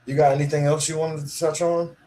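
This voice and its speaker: A man's voice: on the high side, level tone, fairly quick, warm tone. Blunt slangy man on a podcast. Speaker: podcast co-host. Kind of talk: casual chat.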